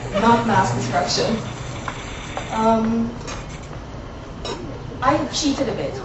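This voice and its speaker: A woman's voice: a little high, extremely slow, long pauses, background noise. Woman host, chatty and jokey with the crowd, heard from a distance in a very echoey room. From born in Guyana, raised in Barbados. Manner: chatty, jokey, talks to the audience. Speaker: cooking demonstration host. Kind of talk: live cooking demo to an audience. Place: demo kitchen on a cruise ship. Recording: very echoey room, distant mic, faint ambience.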